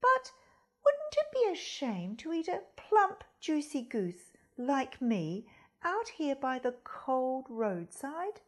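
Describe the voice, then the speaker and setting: A woman voicing a plump, chatty, quick-witted goose in a children's storybook, talking politely and coaxingly. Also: sits high, wildly swooping pitch, heavily acted. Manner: bright-mannered, polite, coaxing.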